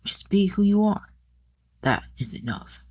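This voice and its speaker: A woman playing a curt, emotionally distant wealthy husband in an audiobook drama, speaking in a cool, measured manner. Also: mid-range voice, sing-song, pitched above the performer's own voice. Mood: cool, firm.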